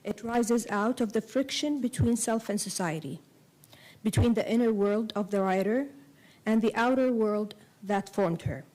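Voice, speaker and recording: Measured woman novelist reading her essay. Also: mid-range voice, a little mellow, poor audio. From Kuwait and Saudi Arabia. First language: Arabic. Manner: measured, deliberate phrasing in short cadenced clauses. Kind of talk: reading a prepared essay aloud.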